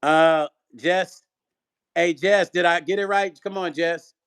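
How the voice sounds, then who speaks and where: A man's voice: high voice, steady tone, slow pace, a bit bright, clear, resonant tone. Upbeat man hosting a livestream. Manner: upbeat, urging viewers, repeating phrases. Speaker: livestream host. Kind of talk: casual livestream chat reading comments.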